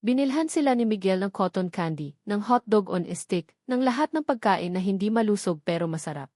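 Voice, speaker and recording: Woman reading a love story, steady and emotive, very dry close mic. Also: medium pitch, brisk, dry recording. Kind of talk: dramatic scripted story narration.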